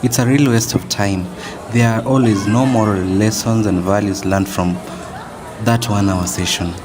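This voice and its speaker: An actor with a Kenyan accent playing a bored, complaining school student in an amateur radio drama, talking in casual slang. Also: male voice, fairly low pitch, steady tone, normal speed, a crowd in the background, natural voice. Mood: bored, grumbling. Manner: casual, mixing English with Swahili slang. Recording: noisy, very reverberant room.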